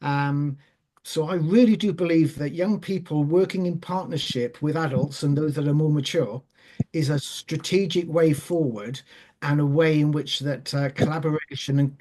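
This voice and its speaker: Man, 60s, measured storyteller on a video call, voice sits a bit high. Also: mellow. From Wales. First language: Welsh. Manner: measured, with frequent ums and self-repeats. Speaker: forum guest speaker. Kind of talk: online forum talk, telling a personal story.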